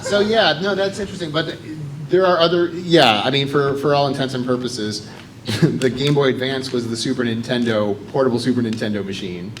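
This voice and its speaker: A man's voice: medium pitch, steady tone, brisk, rough recording. Talkative American man on a panel. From United States. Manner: talkative, explanatory, with fillers. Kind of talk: convention panel talk.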